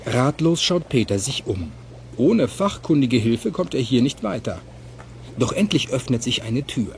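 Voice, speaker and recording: A man's voice: medium pitch, sing-song, measured pace, a little hiss or hum. A man reading scripted narration for a children's audio play.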